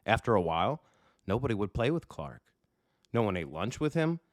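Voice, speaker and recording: A man narrating a children's picture book in an expressive, emphatic storytelling style. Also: fairly low pitch, normal speed. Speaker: storybook reader, coach.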